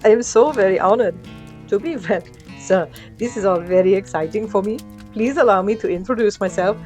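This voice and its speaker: A woman's voice: mid-range voice, brisk, darkish tone, natural voice. A woman voicing a gushing, haughty male elf in an audio story, effusive and formal in manner, with music behind. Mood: gushing, honored. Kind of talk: audio story character acting.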